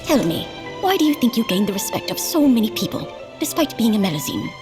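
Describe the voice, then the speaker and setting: A woman's voice: a little high, sing-song, quick pace, music in the background. A woman playing an elderly lady in a video game cutscene, tender, wise and knowing.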